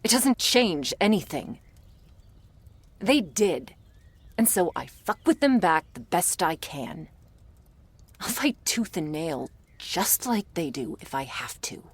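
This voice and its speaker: A woman playing a jaded, prickly monster hunter in a roleplay audio drama, blunt and sardonic, with a low gravelly voice. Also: very animated, takes it slowly, no room sound, exaggerated voice. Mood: irritated, defiant.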